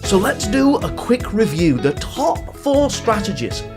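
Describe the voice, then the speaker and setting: A man hosting a motivational advice talk for teenagers, speaking in an emphatic, deliberate teaching manner that stresses key phrases. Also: high voice, big pitch swings, music in the background. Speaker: podcast host and youth coach.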